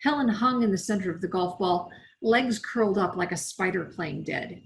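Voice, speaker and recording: A woman's voice: medium pitch, normal speed, creaky. A woman reading as an author, in the style of scripted audiobook narration. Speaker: author reading from own novel.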